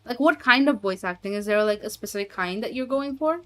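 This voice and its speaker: A woman's voice: mid-range voice, expressive, fairly quick, fairly bright tone, soft background music. A woman streamer chatting casually with her viewers and reading chat aloud, giggling often and trailing off with fillers. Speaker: streamer and hobby voice actor.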